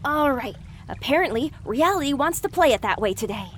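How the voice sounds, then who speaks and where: Woman as a snarky young mare, high pitch, lively swoops, exasperated, fantasy audio drama, faint noise behind. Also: brisk. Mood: sardonic. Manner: wry, exasperated. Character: sarcastic, exasperated young female pony from an underground stable.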